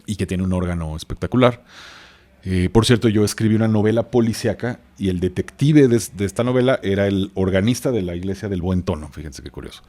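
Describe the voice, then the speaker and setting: A man's voice: low-pitched, brisk, mellow. A man in his forties with a Mexican accent, explaining things casually as a podcast guest, hesitating and wandering into asides. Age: 40s. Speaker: podcast guest, expert on radio history.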